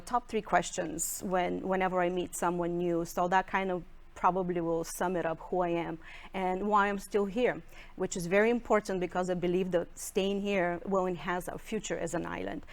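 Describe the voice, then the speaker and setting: A woman's voice: on the low side, fairly even intonation, moderate pace. A woman from Bulgaria with a Bulgarian accent, speaking earnestly as a political candidate in a televised interview.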